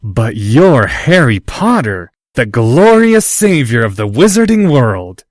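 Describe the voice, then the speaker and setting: A haughty rich schoolboy speaks with lofty condescension, as a man puts him on. His delivery is animated and lively, recorded dry and close. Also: medium pitch, slow, dry recording. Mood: fawning. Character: haughty rich young boy, snobbish and proud.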